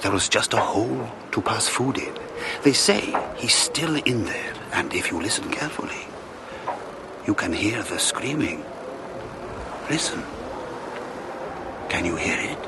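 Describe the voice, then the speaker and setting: A seasoned male ship's captain in a radio drama. His low voice rises and falls in lively swings, wry and knowing, with clear ambient noise around him. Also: unhurried, pauses often. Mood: eerie storytelling. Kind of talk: audio drama acting.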